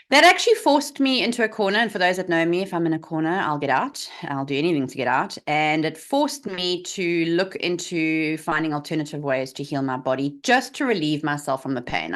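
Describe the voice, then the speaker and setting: A woman in her forties with a South African accent, speaking as an interview guest. Her voice sits low, and she tells her story frankly with plenty of "um"s and "uh"s. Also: a bit bright. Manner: candid, storytelling, many fillers. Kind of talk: interview over video call.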